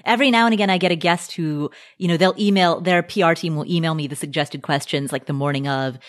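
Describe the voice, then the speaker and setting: Engaged female podcast host with a lower-pitched voice and an easy, conversational delivery. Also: fast talker. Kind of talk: casual conversational chat.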